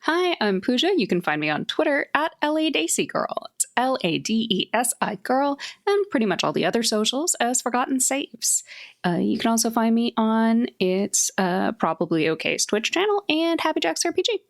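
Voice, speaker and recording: A woman's voice: fairly high pitch, sing-song, moderate pace. A woman talking casually as a player on a podcast. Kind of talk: casual chat.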